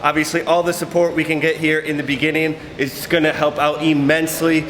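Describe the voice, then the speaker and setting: A man's voice: fairly high pitch, little pitch movement, fairly quick, fairly bright tone. A young man hosting a fitness vlog, giving casual, filler-heavy, upbeat gym instruction. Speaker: fitness vlogger. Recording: roomy gym with music behind.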